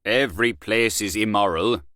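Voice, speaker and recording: A man putting on a loud, brash worldly gent. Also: lowish voice, normal speed, no room sound, studio quality. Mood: boastful. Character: boastful worldly Irish male journalist, loud.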